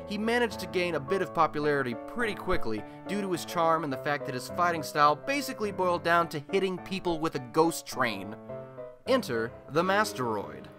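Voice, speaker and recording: A man's voice: fairly high pitch, natural voice. A man playing a chatty, wry radio host in a superhero city, telling scripted comic stories in a colloquial, theatrical way for a radio play.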